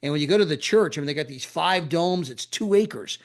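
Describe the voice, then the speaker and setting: Middle-aged American man, lively promoter, rapid-fire destination lists, slightly high voice. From USA. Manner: animated, repetitive, chuckling asides. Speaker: travel club promoter. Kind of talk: enthusiastic sales pitch.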